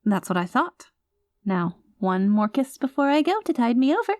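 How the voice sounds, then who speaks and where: An anxious, clingy girlfriend acted by a woman in an intimate audio roleplay. Her voice sits fairly high and is emotive, sliding between flustered affection and teasing, possessive threats. The sound is very dry, with hardly any room to it. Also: sing-song, normal speed, darkish tone, no echo. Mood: tender. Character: anxious, clingy, doting reformed yandere girlfriend, playfully threatening.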